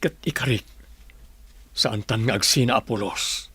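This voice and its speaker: An actor playing a remorseful, devoted doctor husband in a radio drama, earnest and pleading. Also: male voice, medium pitch, measured pace, sharp tone, slightly rough, exaggerated voice. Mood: moved, earnest.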